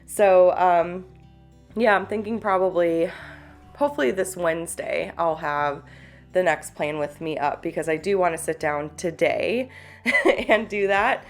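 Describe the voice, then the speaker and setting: A woman's voice: fairly low pitch, unhurried, faint music behind. A woman in a casual, rambling vlog chat, congested and a little stuffed up, with lots of fillers and small laughs. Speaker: planner YouTuber. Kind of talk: casual chatty vlog talk.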